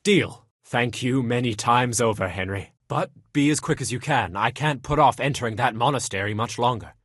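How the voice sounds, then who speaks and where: A man's voice: mid-range voice, moderate pace, a bit bright, slightly rough, dry recording, clean studio sound. A man playing a lusty, self-pitying young nobleman in a video game, complaining dramatically in coarse, colloquial speech. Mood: wary, conspiratorial. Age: young adult. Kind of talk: video game character dialogue.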